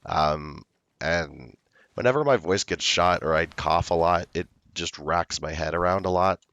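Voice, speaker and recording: Male streamer, hoarse, dry close sound, very low voice, slow rambling chat. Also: fairly bright tone, no echo. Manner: slow, rambling, laid-back talk. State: hoarse, recovering from illness. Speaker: game streamer. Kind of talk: casual livestream chat.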